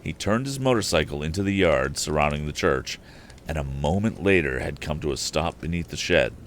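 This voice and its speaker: Male storyteller, deep register, animated narration of a vintage novel, slight stumbles, faint noise behind. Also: a little fast. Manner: expressive, with occasional stumbles and restarts. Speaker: storyteller on a live stream. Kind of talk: animated audiobook-style reading of an old adventure novel.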